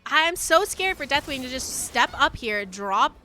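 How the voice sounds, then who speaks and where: A woman esports caster giving live play-by-play game commentary with an excitable, urgent delivery. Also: high voice, measured pace, a little hiss or hum.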